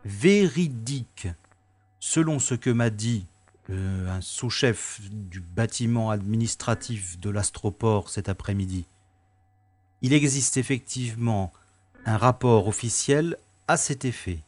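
Man acting a slow-spoken, wry space agent. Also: fairly low pitch, darkish tone. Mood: wry, confident. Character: Less Strade, wry, world-weary male space special agent. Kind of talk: science-fiction audio drama acting with inner monologue.

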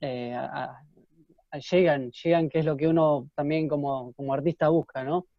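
The sound is dull and very dry, from an online call. A man co-hosts in a slightly high voice, chatting casually and haltingly with repeated words. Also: fairly even intonation, vocal fry, no room sound. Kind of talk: casual chat. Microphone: remote internet call.

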